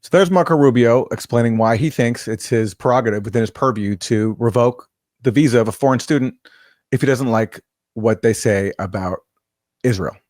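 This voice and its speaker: A man's voice: medium pitch, normal speed, a little mellow, dry recording. Male host, casual but pointed, dry close sound. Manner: pointed, conversational. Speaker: show host. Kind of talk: political commentary interview.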